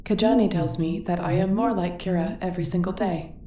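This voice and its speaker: A woman's voice: on the low side, brisk, warm tone, lightly acted. Sci-fi ship computer, woman performer: female, literal, dryly loyal, formal diction, distant and roomy sound. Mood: earnest, literal. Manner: formal, precise wording without contractions. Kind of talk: scripted sci-fi audio roleplay. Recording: roomy, distant, faint background noise.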